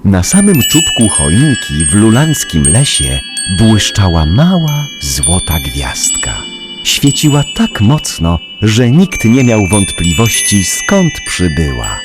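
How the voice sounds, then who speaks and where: A man narrating a children's story in a dramatic, measured storytelling manner, with music under the voice. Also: lowish voice, huge pitch swings, full character voice.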